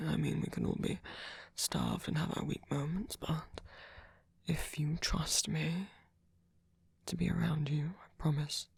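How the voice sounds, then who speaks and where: A man playing a gentle, centuries-old vampire boyfriend in an ASMR roleplay, speaking softly in a breathy, husky half-whisper with a hesitant, comforting manner. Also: a little high, no room sound, exaggerated voice. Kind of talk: ASMR roleplay, soft intimate monologue.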